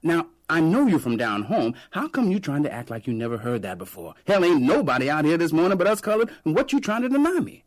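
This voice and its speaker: A man voicing a brash, shrewd street peddler with a loud, teasing, drawling bluster. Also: medium pitch, big pitch swings, unhurried, no room sound, voice pushed up.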